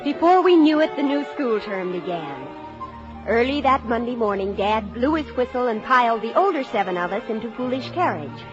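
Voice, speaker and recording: Earnest, high-voiced teenage daughter. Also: female voice, very animated, normal speed, music in the background. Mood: fond, nostalgic. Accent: American. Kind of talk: 1950s radio drama narration and acting. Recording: dull old radio recording.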